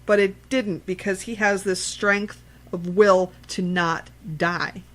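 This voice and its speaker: A woman's voice: medium pitch, fairly even intonation, takes it slowly, poor audio. A woman co-hosting a casual horror film discussion, speaking emphatically, repeating herself for emphasis and swearing freely. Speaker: podcast co-host.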